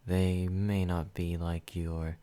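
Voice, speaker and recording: A man playing a possessive vampire in an ASMR roleplay. He speaks low and slow, in a measured, even tone aimed straight at the listener. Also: smooth. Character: calm possessive male vampire host.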